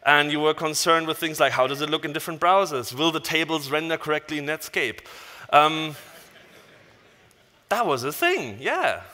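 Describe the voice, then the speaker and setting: A man's voice: fairly high pitch, a little fast. A man in his thirties with a German accent, a native German speaker, giving an informal, joking tech talk on stage as a conference speaker. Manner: animated, joking, casual swearing. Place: large conference hall stage. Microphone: headset. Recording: large hall reverb.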